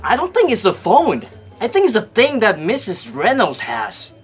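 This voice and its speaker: A dry, teasing schoolgirl. Her voice has a husky, breathy grain and a lively, swinging pitch. Light music plays behind. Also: normal speed, heavily acted. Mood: puzzled. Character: teasing female high school student. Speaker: student. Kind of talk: scripted comedy skit acting. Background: music bed.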